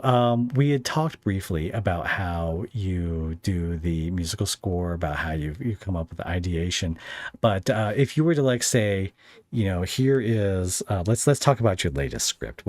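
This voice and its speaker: A man hosting a podcast interview in a casual, somewhat halting way, feeling out his phrasing as he goes. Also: low-pitched, expressive, a little mellow, dry recording.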